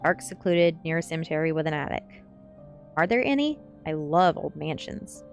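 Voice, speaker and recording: A proud amateur actor in a storybook , voiced by a woman reader. He is chatty and relates his tale with self-importance, in a low register over faint music. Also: lively intonation, moderate pace. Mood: proud, relating.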